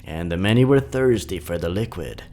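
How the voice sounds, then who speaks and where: A man's voice: low-pitched, expressive, normal speed, warm tone. A man voicing a folksy old grandmother who tells children an ancient tale in a theatrical way. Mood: ominous storytelling. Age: older.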